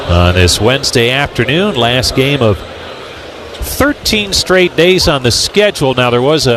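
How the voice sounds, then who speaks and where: A man doing live radio play-by-play commentary of a baseball game as the announcer. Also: medium pitch. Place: ballpark broadcast booth. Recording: ballpark outdoor background noise. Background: ballpark ambience, noise.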